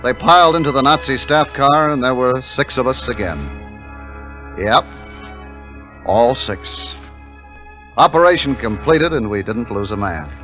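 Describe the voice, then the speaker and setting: An actor playing an army captain telling of a war raid in a terse, dramatic 1950s radio style. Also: male voice, medium pitch, lively intonation, unhurried, pauses often, a bit bright. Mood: grim relief. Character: army captain narrating his war raid, steady, wry. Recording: muffled 1950s radio recording, music behind.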